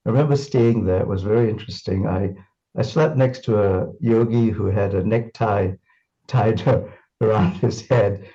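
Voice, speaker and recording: A man's voice: on the low side, normal speed, warm tone, highs cut off. A man from Singapore reminiscing in an interview, thoughtful and full of restarts and fillers. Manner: thoughtful, repeats words, many fillers.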